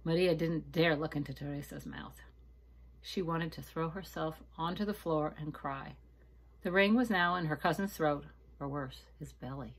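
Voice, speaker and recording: A woman reading a children's story aloud like a teacher, expressive and dramatic, engaging her young listeners. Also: low voice, slightly rough. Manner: dramatic, pausing to ask listeners questions.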